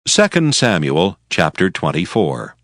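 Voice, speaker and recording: A man narrating scripture as audio drama, with solemn, deliberate phrasing. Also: deep voice, wildly swooping pitch, fairly bright tone, no room sound, clean studio sound. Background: music.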